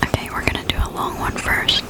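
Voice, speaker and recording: A person whispering gently and soothingly into a close microphone in an ASMR reading style, with an unvoiced, breathy quality. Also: fairly quick. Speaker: host of an ASMR reading channel. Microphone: close desktop condenser microphone.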